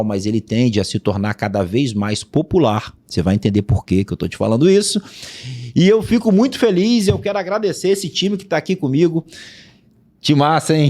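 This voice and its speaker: A man's voice: a little high, a little mellow. A man hosting a business podcast, upbeat and chatty, welcoming guests and leading the talk. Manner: upbeat, chatty, uses fillers like "né". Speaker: podcast host. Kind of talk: podcast interview.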